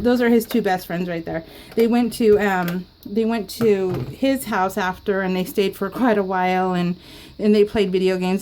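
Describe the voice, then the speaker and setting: A middle-aged woman talks over a craft video as if to friends. Her voice sits at an average pitch. She rambles through everyday anecdotes in an informal, giggly manner. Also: darkish tone. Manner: giggly, frequently laughing, rambling anecdotal storytelling. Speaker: scrapbooking vlogger. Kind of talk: casual chatty voice-over of a craft process video.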